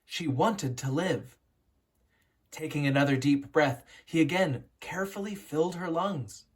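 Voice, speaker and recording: A woman reading a children's picture book aloud as a teacher, telling the story dramatically with deliberate, emphatic pauses. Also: low voice, breathy edge. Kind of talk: expressive children's storybook narration.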